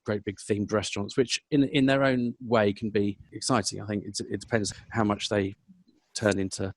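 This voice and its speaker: A man's voice: on the low side, steady tone, normal speed, no echo. A man with a London English accent giving rambling, self-correcting conversational answers as an interview guest. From South London, England. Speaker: podcast guest, food business professional.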